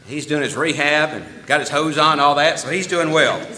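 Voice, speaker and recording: A man's voice: fairly high pitch, expressive, normal speed, sharp tone, slight background noise. A man giving casual church announcements in a folksy, chatty way, laughing easily and restarting his sentences. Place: church meeting room.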